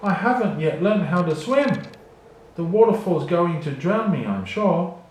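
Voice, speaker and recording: A man's voice: high-pitched, big pitch swings, a little slow, darkish tone, heavy reverb, slight background noise, voice pushed up, rough recording. A man voicing a panicked young cheetah in a storybook, pleading urgently.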